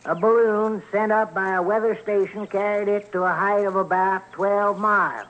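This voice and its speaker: An actor playing a folksy country husband in a radio drama, drawling in a homespun, deliberate way. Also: male voice, high-pitched, fairly even intonation, fairly bright tone, lo-fi sound. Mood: puzzled, plodding. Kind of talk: old-time radio documentary acting.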